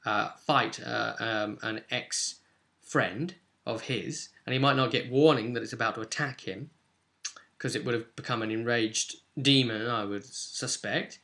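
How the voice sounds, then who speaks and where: A man's voice: medium pitch, measured pace, creaky, bright, forceful tone. A man speaking as a game master, casually recapping and explaining a tabletop roleplay session in a conversational way.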